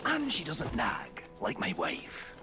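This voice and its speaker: A roguish male dwarf hunter in a fantasy video game. His pitch swoops widely as he teases and spins tales with hearty swagger. He takes his time over the words, with music clearly behind him. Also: huge pitch swings, slow. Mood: reminiscing, enthused. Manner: hearty, teasing, storytelling swagger. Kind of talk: theatrical game cutscene dialogue.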